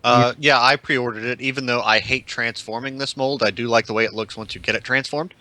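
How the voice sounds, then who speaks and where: A man's voice: medium pitch, fairly even intonation, fairly quick, sharp tone, rough recording. A man chatting casually as a member of a podcast panel. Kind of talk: casual chat about collectible toys.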